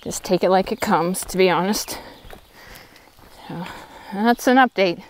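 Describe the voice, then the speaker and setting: A woman's voice: mid-range voice, a little slow, a little hiss or hum. A woman hiker talking casually and candidly to a handheld phone camera while walking a forest trail outdoors. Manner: chatty, candid, a little tired. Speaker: thru-hiker vlogger. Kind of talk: casual walking vlog narration.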